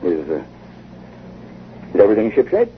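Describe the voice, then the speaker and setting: An actor playing a sceptical, uneasy gentleman in a 1950 radio drama, with a formal, hesitant, incredulous way of speaking. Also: low-pitched, huge pitch swings, normal speed, heavily acted. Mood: nervous, hesitant. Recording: noisy, muffled old radio broadcast.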